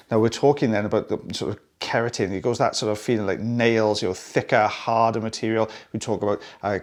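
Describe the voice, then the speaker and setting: Welsh man, audiologist, friendly explainer, mid-pitched voice. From South Wales. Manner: friendly, explanatory, with asides to viewers. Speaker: audiologist presenting ear wax removal. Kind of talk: narrated procedure commentary.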